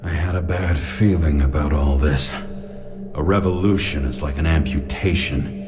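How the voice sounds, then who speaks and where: An actor playing a world-weary, sardonic, hard-boiled detective in a futuristic noir video game. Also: male voice, extremely low pitch, normal speed. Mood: brooding, wry. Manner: sardonic, brooding. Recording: loud music behind.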